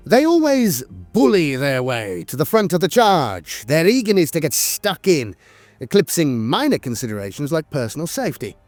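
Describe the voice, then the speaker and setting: Animated man narrating, dry close sound. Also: a little high, moderate pace, no echo, faint music behind. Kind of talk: scripted lore narration.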